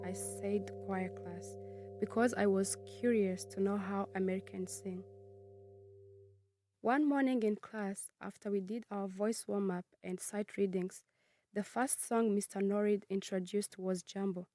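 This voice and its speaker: A woman's voice: mid-range voice, warm tone. Earnest young woman reading her life story. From Congo, raised in Kenya. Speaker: student narrator. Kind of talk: reading a personal narrative.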